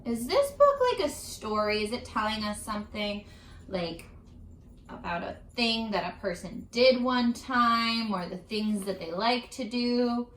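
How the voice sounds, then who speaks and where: A woman reading aloud to children as a teacher, addressing them directly with questions in an animated, instructional way. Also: mid-range voice. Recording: roomy, echoing sound.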